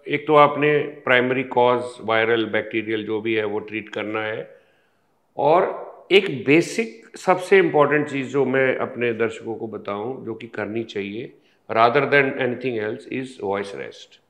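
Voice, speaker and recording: Slow, measured male doctor. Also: mid-range voice, a bit bright. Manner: slow, measured, explaining step by step with pauses. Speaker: ENT surgeon guest. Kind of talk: explanatory health interview.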